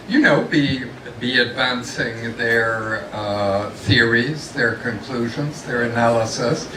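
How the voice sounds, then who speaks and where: A man speaking loudly from the floor at a forum without a microphone, sharing reminiscences. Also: mid-range voice. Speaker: former institute president, guest speaker. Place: large conference room. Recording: echoey room from far off, faint noise.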